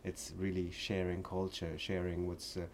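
A man in his forties from Afghanistan, speaking as an interview guest in an earnest, halting way with many restarts. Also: low-pitched, completely flat delivery, a little hiss or hum. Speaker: shop owner, guest. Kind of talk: interview conversation.

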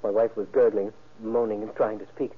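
An actor playing a shaken, wounded husband in an old-time radio crime drama, speaking haltingly and earnestly. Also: male voice, fairly low pitch, level tone, lightly acted. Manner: halting, earnest witness account. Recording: muffled, band-limited vintage radio recording.

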